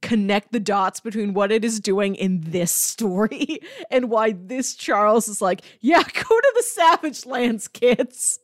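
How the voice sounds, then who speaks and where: A woman's voice: mid-range voice, lively intonation, a little slow, few pauses, dry recording, clean studio sound. A woman co-hosting a podcast, chatting casually about comics. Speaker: podcast co-host.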